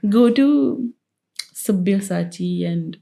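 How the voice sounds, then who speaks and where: A young woman co-hosting a casual podcast with her partner, soft-spoken, dry and sarcastic. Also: medium pitch, expressive, slow pace, gravelly. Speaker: podcast co-host. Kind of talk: casual chat.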